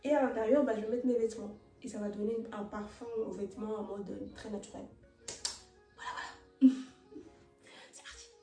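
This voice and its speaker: A woman's voice: mid-range voice, steady tone, normal speed, pauses often, mellow. Chatty woman vlogger in a roomy bedroom. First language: French is her second language. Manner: chatty, many fillers and restarts, drops English phrases. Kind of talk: casual chat while tidying. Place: her bedroom, indoors. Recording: roomy and distant, with faint music.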